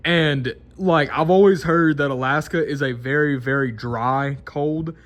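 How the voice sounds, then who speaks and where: Rambling young man, slightly high voice. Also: measured pace, fairly bright tone. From Mississippi, American South. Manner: rambling, many restarts and fillers. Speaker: vlogger. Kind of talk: casual unscripted monologue.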